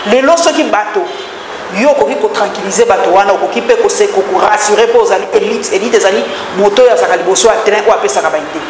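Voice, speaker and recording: Congolese interviewer, challenging and persistent, mid pitch, far off in a reverberant hall. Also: fast, background noise. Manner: pressing, challenging the guest. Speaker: talk-show interviewer. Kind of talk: interview questioning.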